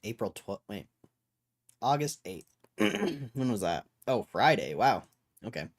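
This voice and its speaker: Hoarse young man chatting on stream. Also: on the low side, very animated, unhurried. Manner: loose, rambling, talks to chat, keeps clearing his throat. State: hoarse, losing his voice. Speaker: livestream host. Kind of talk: casual livestream chat.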